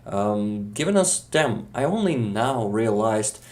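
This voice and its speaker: A Ukrainian man speaking English with a Ukrainian accent, talking as a guest in a casual interview, halting with many fillers. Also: fairly low pitch, sing-song, a little mellow.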